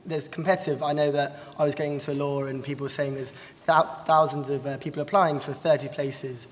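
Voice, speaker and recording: A man's voice: fairly high pitch, little pitch movement, fairly quick. A 23-year-old man, a law student on a panel, speaking earnestly. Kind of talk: live panel discussion. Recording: hall reverb with faint noise.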